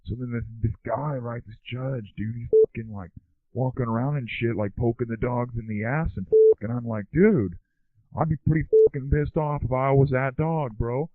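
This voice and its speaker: A man's voice: medium pitch, moderate pace, no room sound. A man giving a rambling, slangy comic monologue as a show guest with a stoner slacker delivery. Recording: dry, tinny phone line.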